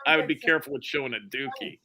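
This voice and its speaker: A man in his forties, teasing and crude, chatting on a livestream with loud outbursts. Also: on the high side, rapid-fire, a crowd in the background. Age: 40s. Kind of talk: crude joking chat on a livestream.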